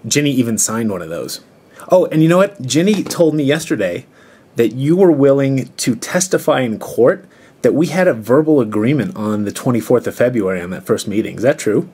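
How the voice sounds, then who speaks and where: A man's voice: medium pitch, expressive, brisk, warm tone, breathy edge. An aggrieved, confrontational man arguing on a recorded telephone call. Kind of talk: tense phone argument.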